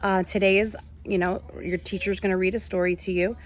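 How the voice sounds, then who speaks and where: A woman teacher reading a picture book aloud in an animated way, talking to children. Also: mid-range voice, brisk. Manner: animated, addressing children with questions.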